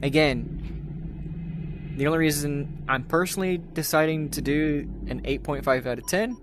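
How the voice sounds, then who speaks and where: A man's voice: a little high, a little slow, background noise. A man giving a casual, unscripted game review in a hesitant, rambling way. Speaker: gaming video host.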